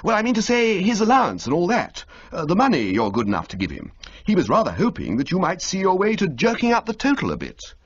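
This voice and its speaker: A man voicing a chatty, flustered young gentleman who talks in a breezy, fumbling way. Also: high-pitched, huge pitch swings, quick pace, husky, voice pushed up, heavily acted. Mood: eager. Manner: breezy, fumbling, full of uhs. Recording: slightly muffled lo-fi audio.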